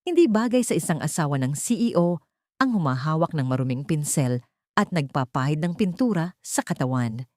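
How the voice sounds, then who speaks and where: A woman narrator puts on a selfish young male CEO from a romantic melodrama. He talks down to his listener in a cold, dismissive way. The sound is dry and close, the pitch a bit low. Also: lively intonation, normal speed, a little mellow, no echo. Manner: dismissive, condescending.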